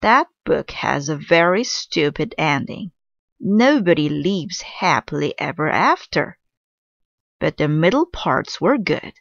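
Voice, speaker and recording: A woman's voice: deep voice, big pitch swings, takes it slowly, creaky, a bit bright, no room sound, lo-fi sound, heavily acted. A woman voicing an easily outraged mother witch in a storybook, with a screeching, scolding, dramatic delivery. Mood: grumbling.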